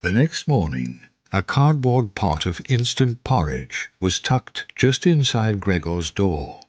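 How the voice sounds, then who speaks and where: A man narrating a radio drama as a wry, observant storyteller, in measured literary phrases. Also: fairly low pitch, sing-song, no echo, full character voice. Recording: noisy track with ambience.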